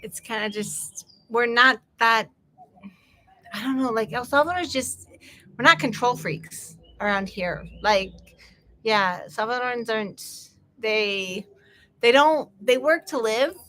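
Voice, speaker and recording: A middle-aged woman with an American accent chats casually on a livestream, rambling through asides and laughing often. Her voice is bright and sits a little high. She sounds friendly and unscripted. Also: measured pace, a little hiss or hum. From United States. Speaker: livestream host.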